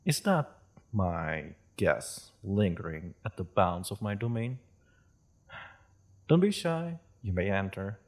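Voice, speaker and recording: A man voicing a gentle, courteous dragon host in a roleplay audio drama, speaking politely and a little hesitantly to the listener. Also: fairly low pitch, heavily acted. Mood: curious, welcoming. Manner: polite, refined, hesitant, softly chuckling host. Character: courteous, gentle dragon hosting tea in its cave.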